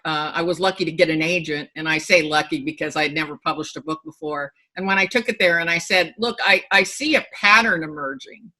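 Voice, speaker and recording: Middle-aged woman, bright voice, animated and candid interview guest on a video call. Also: medium pitch. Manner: animated storyteller, emphatic and candid. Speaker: author guest. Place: home room with bookshelves.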